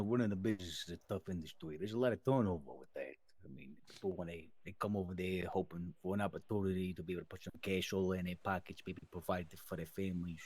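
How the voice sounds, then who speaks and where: A man's voice: low voice, a little mellow, no room sound. A man playing an earnest, long-winded mobster who rambles and speechifies in an improvised online game roleplay.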